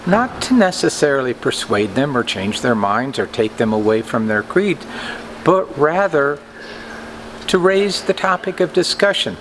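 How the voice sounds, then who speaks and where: An older man giving an informal vlog talk to camera as a store owner, with deliberate, halting phrasing. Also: mid-range voice, sing-song, a bit bright, poor audio. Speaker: comic book store owner and presenter. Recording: clearly audible background noise, ambient hum.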